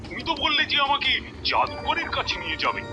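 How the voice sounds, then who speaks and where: A man voicing an excitable, fussy king in a cartoon, exclaiming and repeating himself when flustered. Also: extremely high pitch, fast talker, creaky, full character voice. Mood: nervous. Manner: exclamatory, repetitive, easily flustered. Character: excitable, fussy king fond of his jester. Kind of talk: cartoon voice acting. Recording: loud music behind the voice.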